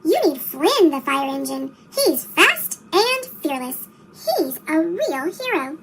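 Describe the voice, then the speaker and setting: A woman's voice: high voice, big pitch swings, takes it slowly, background noise, exaggerated voice. A woman voicing a modest, peeping female steam engine in a children's storybook, through a sped-up chipmunk effect. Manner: peeping, admiring.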